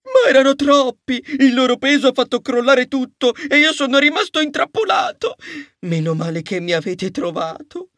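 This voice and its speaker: A storybook Christmas elf, male and very high in pitch. He is earnest and contrite, with an excitable, exclamatory way of talking. The recording is dry. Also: normal speed, no room sound, heavily acted. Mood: contrite, explaining.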